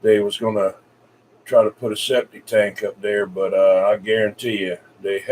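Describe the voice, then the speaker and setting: Southern US man, low-pitched, folksy rambling radio chat, recorded off-mic with some noise. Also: steady tone, unhurried, a little mellow. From South Carolina, United States. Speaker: CB radio operator. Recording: distant mic with background hiss.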